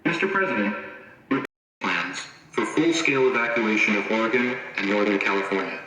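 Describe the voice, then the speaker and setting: A man's voice: fairly low pitch, expressive, fairly quick, noisy background, lightly acted. A man acting as a government official giving an urgent, formal crisis briefing in a horror drama. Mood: urgent, worried. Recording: very echoey room.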